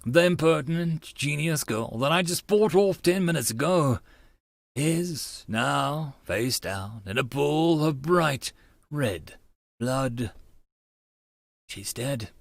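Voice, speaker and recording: A young anime protagonist, acted out by a man, tells his story in horror. His delivery is melodramatic and halting, with big swings in pitch and slow, weighty pacing. The sound is close and dry. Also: creaky, no room sound, full character voice. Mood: shocked. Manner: dramatic, halting, emphatic delivery. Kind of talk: dramatic visual novel narration.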